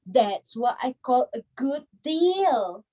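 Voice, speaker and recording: A woman's voice: high voice, very animated, takes it slowly, background noise, heavily acted. A woman playing a smug, gossipy society lady in a dramatised reading, self-assured and dismissive.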